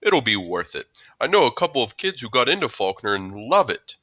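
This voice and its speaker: Man acting a bright, friendly school principal. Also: medium pitch, sing-song, a little fast, pitched above the performer's own voice. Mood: friendly, impressed. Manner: polite, encouraging, emphatic. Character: friendly, courteous adult male school principal. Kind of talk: audiobook character dialogue.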